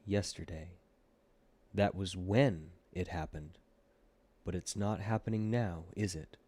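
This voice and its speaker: A man voicing a wise, patient old grandfather in a children's audiobook, calm, measured and gently questioning. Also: low voice, husky, full character voice. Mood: calm, instructive.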